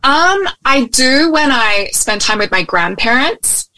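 A woman's voice: sits high, very animated, takes it slowly, sharp tone, no room sound, rough recording. A young adult woman from Australia with an Australian accent tinged with American, chatting openly as an interview guest with ums and restarts. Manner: open, friendly, with frequent ums and restarts. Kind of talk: casual interview conversation. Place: at home in Hawaii, remote call.

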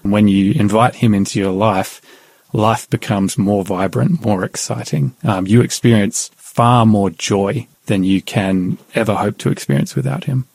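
A man, a podcast guest, calmly telling a personal faith story in conversation, often saying "you know". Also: on the low side, unhurried, vocal fry, no echo. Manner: calm, thoughtful, with frequent "you know".

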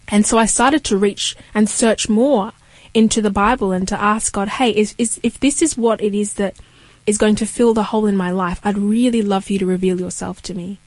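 A woman's voice: medium pitch, darkish tone, no room sound. Earnest young woman from Kenya. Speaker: guest. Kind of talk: faith testimony interview.

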